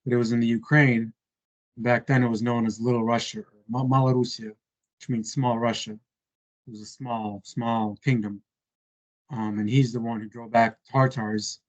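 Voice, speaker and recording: A man's voice: mid-range voice, flat delivery, moderate pace, poor audio. A man casually chatting on a video call, thinking aloud with many fillers and hedges. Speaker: conversation partner.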